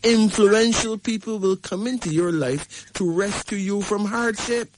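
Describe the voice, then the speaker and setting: A man's voice: high-pitched, a little slow, slight background noise, low-quality recording. A man hosting a show, giving an emphatic numerology reading and checking in with the caller again and again. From Barbados. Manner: emphatic, repeats "right?" to the caller. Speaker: radio host.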